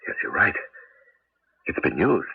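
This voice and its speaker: Excitable cabbie-inventor, radio play. Animated, emphatic, halting; muffled broadcast sound. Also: male voice, deep voice, vocal fry, husky, dry recording, exaggerated voice. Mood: earnest, intent. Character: excitable male taxi driver and amateur inventor. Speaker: radio drama actor. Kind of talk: 1980s radio drama acting. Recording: dull, noisy old radio broadcast.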